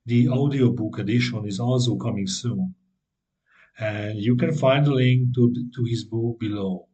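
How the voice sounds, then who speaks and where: A man's voice: medium pitch, a little slow, dark tone, dull audio. A man hosting a history podcast, formal and earnest, presenting and asking questions. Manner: formal, earnest, some hesitant fillers when asking. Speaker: podcast host. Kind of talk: scripted intro and interview questions.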